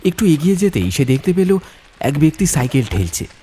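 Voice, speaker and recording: A man narrating a horror audiobook as a storyteller, with suspenseful, atmospheric delivery and deliberate phrasing. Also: on the high side, big pitch swings, warm tone, slight background noise.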